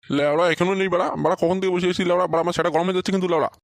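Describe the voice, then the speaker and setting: Henpecked old cartoon husband played by a man, whiny and cowardly, jabbering very fast in a close, dry sound. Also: high voice, steady tone, no echo. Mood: irritated, grumbling. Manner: stammering, foul-mouthed, repeats himself. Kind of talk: amateur comic cartoon dubbing.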